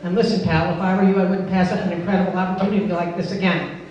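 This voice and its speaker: A woman's voice: on the low side, little pitch movement, fast talker, a little mellow, lo-fi sound, lightly acted. Woman reading as pushy, obsessive dog, echoey hall. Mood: earnest, coaxing. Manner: pompous, wheedling, insistent formal phrasing. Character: obsessive, pushy, self-centred male dog pleading to play. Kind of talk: live comic story reading. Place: live benefit event before a laughing audience. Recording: echoey noisy hall, far from mic.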